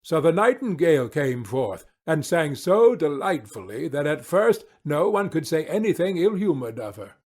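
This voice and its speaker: A man narrating a storybook audiobook in a measured, theatrical way. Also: fairly high pitch, big pitch swings, darkish tone.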